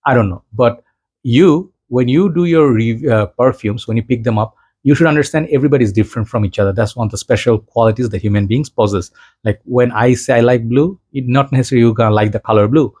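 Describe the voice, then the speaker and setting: A man's voice: medium pitch, fast, a little mellow, husky. A man from Bangladesh with a Bangladeshi accent, talking as a fragrance reviewer in an informal, rambling vlog chat addressed to his viewers.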